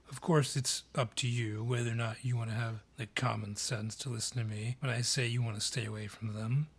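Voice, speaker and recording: A man playing a grudging, sardonic dryad in a fantasy audio roleplay, with a gruff, curt, world-weary manner and a husky, breathy voice. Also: medium pitch.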